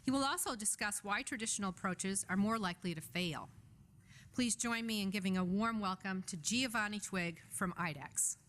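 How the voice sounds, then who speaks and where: A woman in her fifties reads a speaker introduction from her notes at a podium, measured and steady. Her voice sits in a middle range for a woman. Faint room ambience hums under her voice. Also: mid-range voice, a little hiss or hum. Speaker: event host introducing the speaker. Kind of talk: reading a prepared introduction. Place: function room. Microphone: podium microphone.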